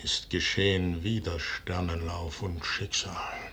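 An actor playing a proud, ambitious older military commander in a declamatory 1950s German radio play style. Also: male voice, sits low, little pitch movement, takes it slowly, pushed and bright, a little hiss or hum, heavily acted. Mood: wounded, grave. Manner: declamatory, theatrical. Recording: 1950s radio drama recording.

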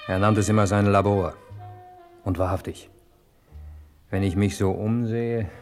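A man acting a wry crime-story narrator in a 1950s German radio parody. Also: sits low. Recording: old 1950s radio recording, faint music.

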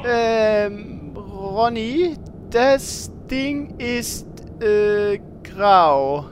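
A woman acting a timid, slow-thinking, hesitant adventurer in a comedic fantasy audio drama. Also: medium pitch, lively intonation, a little hiss or hum. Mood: unsure. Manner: hesitant, stammering.

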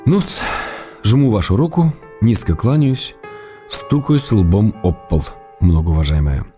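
An affectionate writer teasing his beloved in letters, his voice deep and mellow. He speaks unhurriedly, with gentle irony and warmth. Faint music plays behind him. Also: expressive. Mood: ironic, tender. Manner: tender, gently ironic, unhurried. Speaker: reader of letters in a radio play. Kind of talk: radio drama letter reading.

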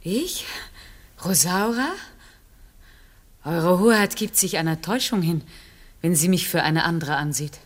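A woman's voice: fairly low pitch, a little slow, pauses often. An actress playing a proud, troubled noblewoman in a classical verse radio drama, formal and emotional in her declamation. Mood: guarded dissembling.

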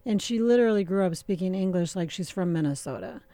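Mellow-voiced middle-aged woman winemaker. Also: lowish voice. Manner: relaxed and chatty, with many filler pauses and easy laughter. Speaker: winemaker guest. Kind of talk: casual radio interview.

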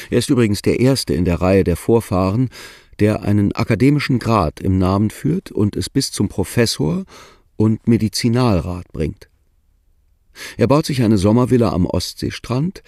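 A man narrating a family history in a steady, matter-of-fact manner. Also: fairly low pitch, no echo, clean studio sound. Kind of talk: documentary narration.

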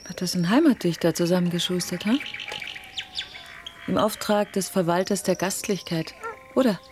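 An actress as an uneasy, educated woman with a lowish voice and music behind her. She is earnest and thoughtful, in natural radio play style. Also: moderate pace, darkish tone, lightly acted. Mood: suspicious, wry. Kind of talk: naturalistic radio drama acting.

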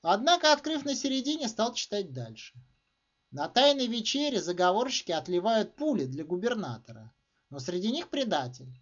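Animated high-voiced man reading a story. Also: moderate pace. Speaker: author reading own short story. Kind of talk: expressive literary narration. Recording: dull, muffled lo-fi sound.

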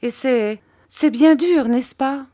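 A woman's voice: mid-range voice, expressive, unhurried, a little mellow, no echo, clean studio sound, voice pushed up. A woman voicing a petulant, self-pitying young mother in an audiobook, plaintive and exclaiming. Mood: sulky, hurt.

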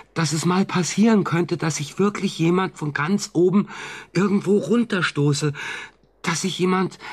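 An actor playing a frightened male patient in a radio drama, confessing in an anguished, halting manner. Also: medium pitch, breathy edge. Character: frightened male patient, tormented by his own violent urge.

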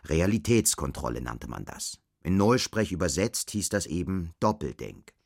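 A man's voice: sits low, sing-song, moderate pace, vocal fry, a little mellow. A man narrating a scripted radio drama as its storyteller. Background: faint music.

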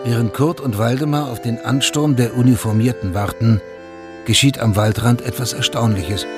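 An actor serving as the narrator of a radio crime drama, telling events in a measured, factual way. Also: male voice, mid-range voice, fairly even intonation, over music, close to an everyday voice. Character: sober omniscient storyteller of a crime chronicle.

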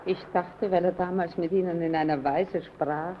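A woman's voice: lowish voice, steady tone, normal speed, slight background noise, close to an everyday voice. Actress as curious, persistent woman visitor, dull old recording. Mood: probing. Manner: polite, chatty, probing, questioning. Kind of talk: old German radio play acting.

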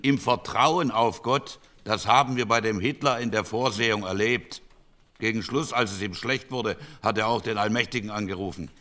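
An actor playing a wry, sceptical, plain-spoken parliamentary delegate of 1948 in a radio docudrama. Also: male voice, lowish voice, moderate pace, sharp tone. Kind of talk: German radio docudrama acting.

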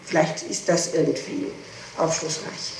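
A woman answers an interrogator haltingly, often restarting and repeating her words. She sounds distant, in a very reverberant room. It is an old 1960s tape with faint noise. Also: low voice, steady tone. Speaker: person questioned in a murder investigation. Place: echoey room, away from the microphone. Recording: echoey, noisy, distant 1960s tape recording.

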